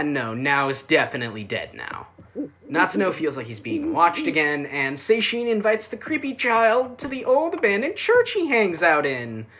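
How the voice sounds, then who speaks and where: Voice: medium pitch, fairly bright tone. A reviewer narrating a scripted but casual video review, with sarcastic asides and dry humour.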